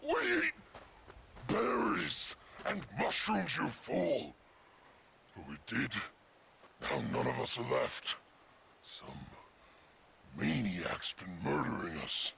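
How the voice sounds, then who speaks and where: A man voicing a grieving, weary male sasquatch in a video game, pleading and eloquent in a gravelly growl. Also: mid-range voice, huge pitch swings, takes it slowly, long pauses, pushed and bright, slight background noise, exaggerated voice. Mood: bitter grief. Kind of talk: video game cutscene acting.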